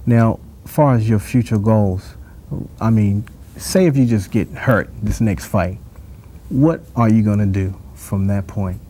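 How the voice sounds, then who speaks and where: A man hosting a TV interview show in a studio, asking questions in a measured, drawn-out way. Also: on the low side, warm tone, breathy edge. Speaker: TV talk show host. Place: TV studio set.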